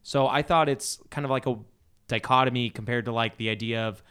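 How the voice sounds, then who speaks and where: A man's voice: medium pitch, steady tone, a little fast, bright, forceful tone, clear, resonant tone. A man hosting a casual panel chat about video games. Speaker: podcast host.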